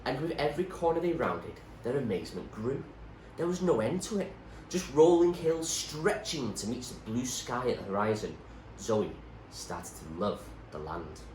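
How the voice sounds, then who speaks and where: A man's voice: medium pitch, lively intonation, moderate pace, few pauses, darkish tone. A man reading a story aloud as narrator, with a dramatic, emphatic delivery. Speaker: teacher reading a novel aloud. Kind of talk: scripted story narration, read expressively. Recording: roomy echo, faint background noise.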